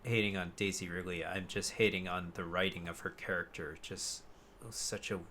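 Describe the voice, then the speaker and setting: A man co-hosting a casual chat podcast, blunt and quick to swear with the exasperation of a fan. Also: deep voice, steady tone, pushed and bright, slight background noise. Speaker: podcast co-host. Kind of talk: casual chat about films and games.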